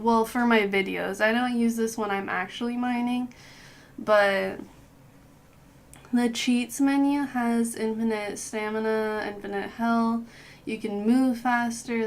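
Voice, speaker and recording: Young female gaming host, hoarse with a cold, slow relaxed delivery, throat-clearing habit. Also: on the high side. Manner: unhurried, clears throat often. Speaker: gaming youtuber. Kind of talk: casual game guide voice-over.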